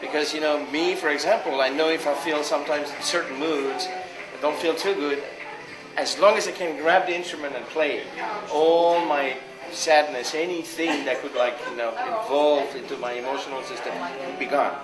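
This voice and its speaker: Male interviewee with a French accent, casual and discursive, slightly high register, over loud background music. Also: steady tone, moderate pace. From France. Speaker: interviewed jazz guitarist. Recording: echoey space with loud music.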